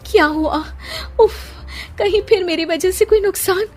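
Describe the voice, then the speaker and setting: A woman voicing a gentle, sad, self-doubting young bride in a cartoon story, plaintive and resigned. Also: very high voice, moderate pace, soft background music, pitched above the performer's own voice. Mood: anxious, tearful.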